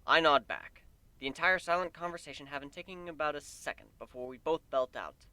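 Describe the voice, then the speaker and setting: A man giving an animated first-person dramatic reading of a fan fiction story. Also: on the high side, a little fast, clean tone, no echo. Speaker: amateur story reader.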